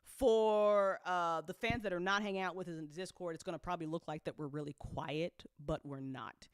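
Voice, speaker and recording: A woman's voice: lowish voice, fairly even intonation, moderate pace, clean tone, no echo, studio quality. A woman talking casually and off the cuff as an audio drama producer updating fans, candid, colloquial and full of swearing, emphatic when she makes a point.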